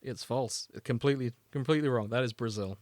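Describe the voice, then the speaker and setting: A man's voice: medium pitch, fast, a little mellow, no room sound. A British man chatting casually as a podcast co-host.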